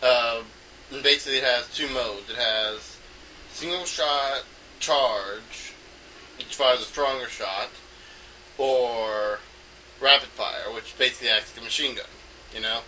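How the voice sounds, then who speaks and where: A man giving an unscripted, rambling explanation as an amateur game designer, halting often as he thinks aloud. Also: medium pitch, bright, forceful tone. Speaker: amateur game designer recording an audio log. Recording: noisy home recording, distant from mic.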